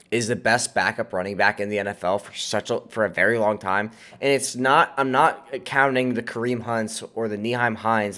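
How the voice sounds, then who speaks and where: A man's voice: medium pitch, a bit bright. An American man with a nasal, congested voice, sick as he hosts, giving casual, emphatic fantasy football advice to viewers. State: sick with a cold. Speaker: host of a fantasy football channel.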